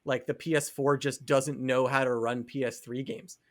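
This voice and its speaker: A man's voice: medium pitch, fairly even intonation, moderate pace. A man co-hosting a podcast, talking casually and explaining his opinions on video games. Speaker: podcast co-host.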